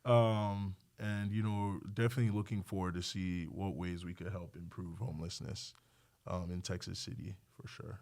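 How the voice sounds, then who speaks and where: Male doctor, fairly low voice, informal podcast guest who jokes at his own expense. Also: little pitch movement, normal speed, vocal fry, a little mellow. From Nigeria. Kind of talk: casual interview chat.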